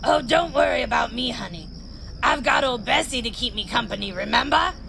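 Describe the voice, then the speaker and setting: A woman playing a stubborn, affectionate older mother with a gravelly voice in an audio drama. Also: a little high, lively intonation, brisk, a bit bright, a little hiss or hum, full character voice. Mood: fond, defiant.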